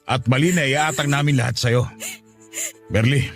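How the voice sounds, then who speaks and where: A man playing a burdened, gentle middle-aged father in a radio drama, apologetic and earnest. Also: mid-range voice, moderate pace, music in the background, close to an everyday voice. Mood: contrite. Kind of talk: Tagalog radio drama acting.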